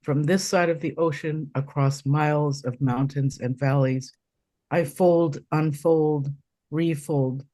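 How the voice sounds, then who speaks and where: A woman from Taiwan, hoarse from a cold, reading her poem slowly and deliberately over a video call. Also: deep voice, fairly even intonation, mellow, no echo. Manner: slow, deliberate recitation with pauses. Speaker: poet reading her work. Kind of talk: poetry reading.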